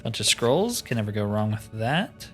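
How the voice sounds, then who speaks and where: A man's voice: on the low side, very animated, moderate pace, a bit bright, soft background music. A man giving casual playthrough commentary as a gaming host, thinking aloud in short, informal remarks.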